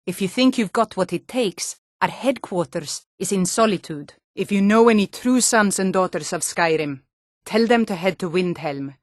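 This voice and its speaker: An actress playing a hardy, proud Nord warrior woman in a fantasy video game, with a blunt, forceful, martial delivery. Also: medium pitch, lively intonation, normal speed, no echo, studio quality, lightly acted. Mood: proud, resolute.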